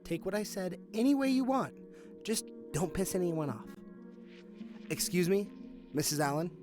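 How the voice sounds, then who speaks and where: Male actor, police detective, cynical, confident, sardonic, fairly high pitch, audio drama, background music. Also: sing-song, normal speed. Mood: businesslike. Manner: sardonic, matter-of-fact.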